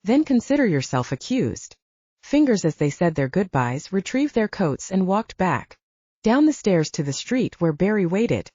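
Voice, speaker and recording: A woman narrates fiction for an audiobook in a mellow, husky voice with very lively intonation. It is a scripted read, recorded close and dry with a slightly dull sound. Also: fairly low pitch, unhurried, no room sound.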